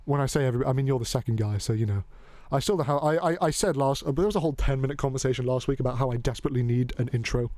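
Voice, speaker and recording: A man's voice: mid-range voice, racing, hardly any pauses, a little mellow, dry recording. A man hosting a casual talk show, rambling and joking loosely and cursing now and then. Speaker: podcast host. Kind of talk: casual chat.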